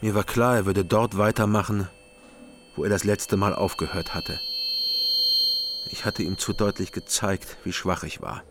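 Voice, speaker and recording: A man's voice: on the low side, fairly even intonation, normal speed, long pauses, noisy background, natural voice. An actor playing a troubled East German former policeman, narrating his own story in a radio crime drama with restrained, brooding delivery. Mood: sombre, restrained.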